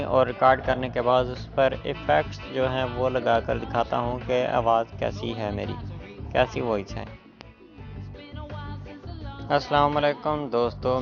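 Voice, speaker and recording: A man with a creaky voice at an average pitch presents a casual app tutorial, step by step. The recording is dull and lo-fi. Music plays faintly behind him. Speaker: tech tutorial host. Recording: muffled lo-fi voice with background music.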